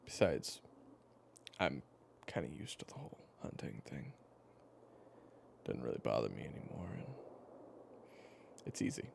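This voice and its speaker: A man playing a weary but gentle storyteller with a regretted past, talking casually and haltingly to the listener in an intimate audio roleplay. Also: rumbling low, creaky, noisy background, full character voice. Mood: easygoing, reassuring. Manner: casual, halting, with sighs and pauses.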